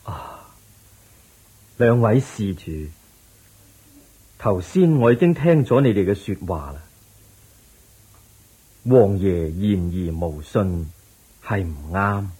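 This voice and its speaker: A serene, wise Buddha in a radio drama, preaching in Hong Kong Cantonese. The voice is low and very husky, unhurried and solemn, with drawn-out phrases. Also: male voice, lively intonation, warm tone, full character voice, rough recording. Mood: grave, gentle. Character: serene, wise Buddha patiently teaching his disciples.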